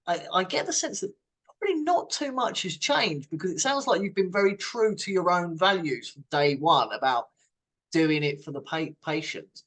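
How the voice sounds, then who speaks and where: A man hosts an interview, speaking in an upbeat, encouraging way as he frames his questions. His voice sits a little higher than most men's. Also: fairly bright tone. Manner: enthusiastic, encouraging. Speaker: podcast host. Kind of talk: interview hosting.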